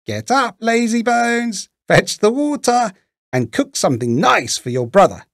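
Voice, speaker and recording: A man's voice: high voice, big pitch swings, measured pace, dry recording, studio quality, voice pushed up, full character voice. A man voicing a wicked old witch from a storybook, cruel, bossy and scolding. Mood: harsh command. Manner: scolding, commanding. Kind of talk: storybook character voice.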